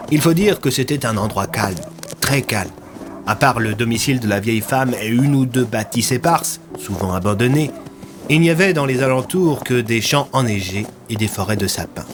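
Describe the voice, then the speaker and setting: A man narrating a scripted audio fiction as its storyteller. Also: medium pitch.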